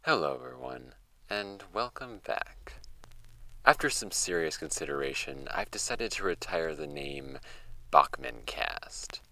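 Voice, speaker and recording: A man acting as an amateur podcaster who presents mysterious case files in a wry, measured way. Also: deep voice, sing-song, gravelly, pushed and bright, heavily acted, rough recording. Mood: wry, casual. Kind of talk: scripted horror fiction podcast narration. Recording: music bed behind the voice.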